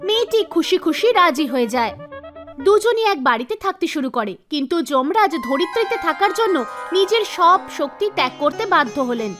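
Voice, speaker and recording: Very high-pitched woman narrating a tale. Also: big pitch swings, normal speed, music in the background. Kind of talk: scripted children's story narration.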